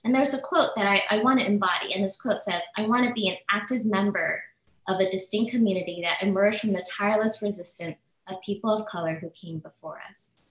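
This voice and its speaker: An earnest woman professor delivers prepared webinar remarks in a measured way. Her voice sits at an ordinary middle pitch. It comes through a video call with a noticeably roomy echo. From United States. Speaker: assistant professor speaking as webinar panelist.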